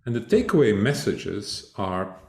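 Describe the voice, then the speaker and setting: An older man from Belgium presents at a conference in a measured, deliberate way. His voice sits low but moves a lot in pitch. The sound carries a roomy echo. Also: very animated, creaky. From Belgium (Flanders). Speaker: conference speaker.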